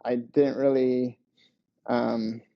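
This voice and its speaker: A man's voice: medium pitch, flat delivery, extremely slow, creaky. A young man from Lithuania, a podcast guest telling his story in a hesitant way with many fillers, on a headset over a video call. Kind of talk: casual interview storytelling. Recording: dull lo-fi video call audio.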